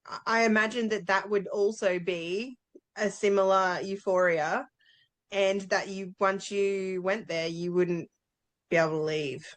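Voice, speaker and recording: A woman's voice: mid-range voice, steady tone, unhurried. A woman co-hosting a casual book discussion, talking informally and thinking aloud as she goes. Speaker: book club podcast co-host.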